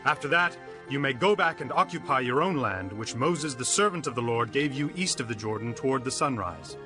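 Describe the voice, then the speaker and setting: A man's voice: mid-range voice, lively intonation, fairly quick, lightly acted. A man as a commanding ancient leader over music. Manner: declamatory, authoritative. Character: Joshua, commanding Israelite leader, firm and purposeful. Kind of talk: dramatized scripture reading. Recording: music under the voice.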